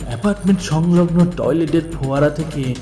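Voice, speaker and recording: A man narrating a literary story dramatically in the first person, deliberate and theatrical, with drawn-out pauses. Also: a little high. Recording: echoey voice with music bed. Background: background music.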